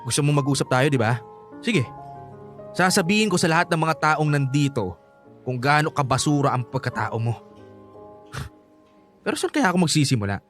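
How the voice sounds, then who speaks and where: A man's voice: a little high, expressive, moderate pace, long pauses, fairly bright tone, soft background music. A man acting a bitter, hot-headed grown son in a Filipino radio drama, confrontational and sarcastic. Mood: bitter, taunting.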